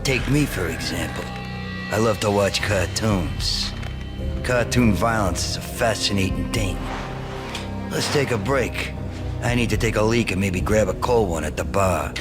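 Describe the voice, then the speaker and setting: An actor playing a sadistic mob thug who taunts in a gleeful, menacing way. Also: male voice, fairly low pitch, unhurried, natural voice. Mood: taunting. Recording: game soundtrack music behind.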